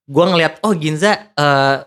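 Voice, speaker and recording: A man's voice: a little high, a little slow, fairly bright tone, clean tone. A man with a Jakarta Indonesian accent explaining things in casual, colloquial conversation as a podcast guest, often correcting himself. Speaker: podcast guest, content creator.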